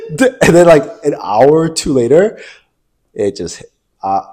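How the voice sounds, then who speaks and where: A man's voice: fairly high pitch, big pitch swings, slow pace, darkish tone. A young man with a stuffed-up nose from allergies, co-hosting a casual podcast chat. He rambles, laughs often and restarts his sentences. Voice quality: nasal. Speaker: podcast co-host.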